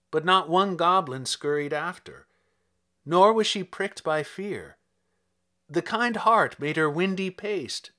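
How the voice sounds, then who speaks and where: Male poetry reader: theatrical storyteller, highish pitch, very lively intonation, deliberate. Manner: theatrical, expressive, measured delivery. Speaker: reader of a poem. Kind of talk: dramatic narrative poetry reading.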